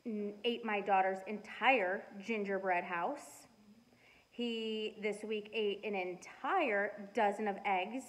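A middle-aged woman reading a storybook aloud and chatting to children in an expressive, deliberate way. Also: mid-range voice, poor audio. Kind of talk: storybook read-aloud and casual chat to children. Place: living room at home.

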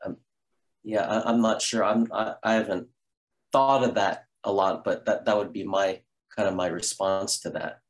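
A man in his fifties from the Bay Area, a Zen priest speaking on a video call in a halting way, with many restarts. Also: low-pitched. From California, Bay Area. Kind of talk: reflective conversation. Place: room at home.

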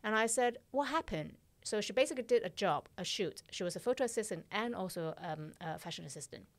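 A blunt, animated middle-aged woman tells stories on a podcast, swearing freely and acting out every exchange. Her voice sits at an ordinary middle pitch. She speaks close to a studio mic in a small studio. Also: brisk. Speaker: podcast guest, fashion director. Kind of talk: casual interview storytelling. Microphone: studio mic on arm, headphones.

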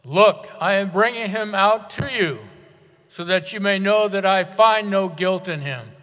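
Roman governor played by a man: high male voice, commanding and declamatory, scripture drama, long hall reverb. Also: unhurried. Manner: loud, declamatory, formal.

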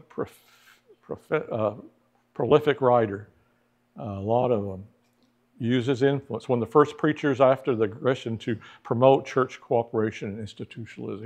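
Middle-aged male Bible teacher, mid-pitched, chatty lecture style with questions to the room, lapel mic in a church classroom. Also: darkish tone. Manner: conversational, asks the class questions, acts out quoted opponents.

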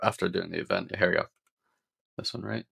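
A man in his thirties, hoarse from losing his voice, talking earnestly and rambling as a life coach on a home live stream. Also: low voice, steady tone, brisk, fairly bright tone. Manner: earnest, rambling, many fillers and restarts. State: voice partly lost, hoarse. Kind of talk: casual live-stream talk. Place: home office room.

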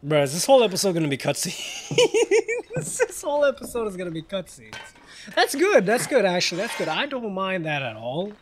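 A young man casually commenting on a game he plays, animated, joking, swearing and rambling. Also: high-pitched, a little fast, slight background noise. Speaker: gaming video host. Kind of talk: casual let's play commentary. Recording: voice over game audio with music.

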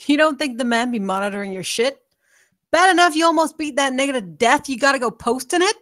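Tough mother played by a woman: slightly high voice, dry sound, streetwise, stern, blunt authority. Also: lively intonation, brisk, a bit bright, no echo. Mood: scolding. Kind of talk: script table read.

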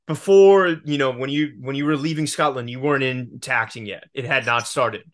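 A young male host talks over a video call from a plain room at home. His voice is mid-pitched and ordinary. He sounds eager and effusive, and he stumbles over restarts. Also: a little fast. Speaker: podcast host. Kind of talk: casual interview.

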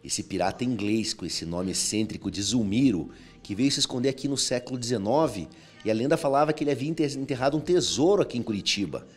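A man's voice: medium pitch, animated, fast talker, husky, faint music behind. Male researcher, forties, explanatory interview on handheld mic, mid-range voice. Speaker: historical researcher. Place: small indoor restaurant room. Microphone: handheld microphone.

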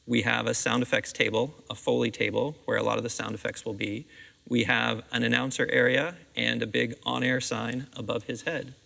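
A man's voice: medium pitch, flat delivery, a little fast, vocal fry, sharp tone. A middle-aged American man answering interview questions in conversation as a theatre director. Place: seated on the stage of a theatre auditorium. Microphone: clip-on lapel microphone. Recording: reverberant empty auditorium.

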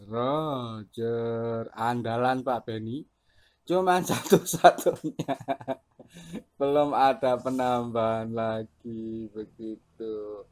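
A man's voice: mid-range voice, fairly even intonation, takes it slowly, darkish tone. A man, chatting casually as a CB radio operator. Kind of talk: casual two-way radio chat.

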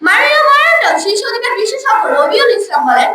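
A woman's voice: extremely high pitch, big pitch swings, fast, sharp tone. A young schoolgirl giving a formal, declamatory, rehearsed debate speech. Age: child or teenage school student. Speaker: school debate first speaker. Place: school debate event, room. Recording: echoey room, distant mic, noisy background.

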